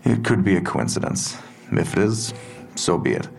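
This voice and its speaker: A gravelly, low-voiced police detective. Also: male voice, very animated, soft background music, full character voice. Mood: grave, pressing. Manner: deliberate, matter-of-fact questioning. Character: methodical, probing male police detective, quietly pressing. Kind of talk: audio drama dialogue.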